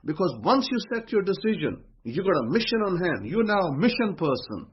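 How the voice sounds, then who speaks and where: Male teacher, husky and a bit high-pitched, repetitive and emphatic, dull lo-fi sound. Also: very animated, a little fast. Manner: emphatic, repeats phrases while building a point. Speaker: religious teacher. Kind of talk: motivational religious talk. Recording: dull lo-fi recording.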